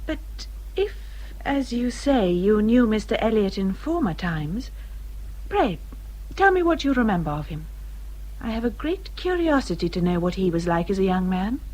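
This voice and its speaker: A reserved, earnest young gentlewoman from a Regency radio play. Her English voice sits at a middle pitch and is a little husky. She speaks formally and politely, with a hesitant care. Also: very animated, moderate pace, rough recording. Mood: earnest, firm. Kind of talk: period radio drama acting.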